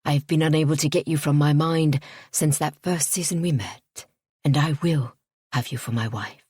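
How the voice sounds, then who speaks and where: A woman voicing a pompous, obsessive gentleman suitor in a storybook reading, speaking in a haughty, clipped way. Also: a little high, moderate pace, husky, no room sound. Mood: fervent.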